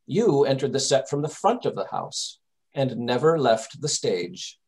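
Gentleman detective male actor, mid-range voice, composed, authoritative and reassuring, classic radio mystery delivery. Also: mid-range voice, sing-song, a little slow. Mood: explaining. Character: calm, commanding gentleman detective. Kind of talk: old-time radio drama acting.

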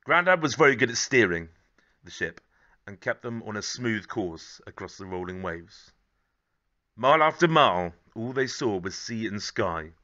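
A man in his thirties reads a children's picture book aloud. His voice is fairly low and bright, with animated swings in pitch. The phrasing is measured and gently dramatic, and the recording sounds a little dull. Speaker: storybook reader. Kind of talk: expressive children's story narration.

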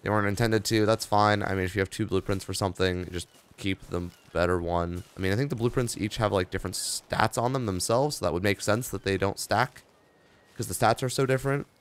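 A young man with a hoarse, worn-out voice, rambling casually through game patch notes as he plays. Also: on the low side, brisk. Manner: rambling, offhand, reading patch notes aloud with asides. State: hoarse, tired voice. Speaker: gaming video host. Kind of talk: casual gameplay commentary.

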